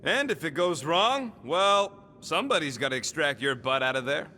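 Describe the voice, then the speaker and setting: A man's voice: on the high side, lively intonation, normal speed, sharp tone, slight background noise. A man voicing a cranky, wisecracking small furry creature mechanic in a video game, with sarcastic street-tough speech. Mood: grumbling.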